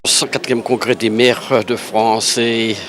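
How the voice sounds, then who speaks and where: A man's voice: medium pitch, level tone, takes it slowly, over loud music. A man working as a reporter, introducing a guest for a news interview at a busy congress venue. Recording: busy crowd chatter behind voice.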